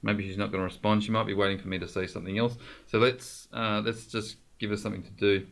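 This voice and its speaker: A man presenting an informal software tutorial, explaining things directly to the viewer in a conversational way. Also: on the low side, flat delivery, fast, vocal fry.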